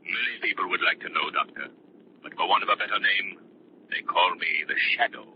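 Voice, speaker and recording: An actor playing a calm, mocking, menacing vigilante with a measured, ominous delivery in 1930s radio mystery style. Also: male voice, on the low side. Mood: mocking menace. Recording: muffled, lightly noisy 1930s radio recording.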